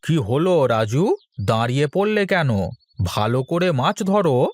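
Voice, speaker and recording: A man voicing an easygoing, kindly village man in a cartoon, expressive and reassuring. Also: on the high side, measured pace, no echo. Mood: admiring, indulgent. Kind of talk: cartoon voice acting.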